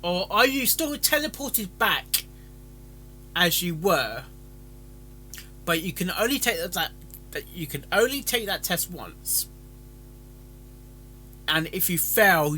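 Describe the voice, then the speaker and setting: A man's voice: a little high, animated, slow pace, long pauses, pushed and bright, faint music behind, rough recording. A man giving rambling, casual commentary as a stream host, stammering and often repeating words as he goes. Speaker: host of a fan fiction reading stream.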